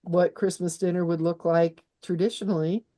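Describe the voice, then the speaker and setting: A woman's voice: on the low side, steady tone, moderate pace, warm tone. A woman born in Austria, an author guest chatting in an interview, talking freely with restarts and little laughs. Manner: chatty, many restarts, laughs while explaining. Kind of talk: conversational interview.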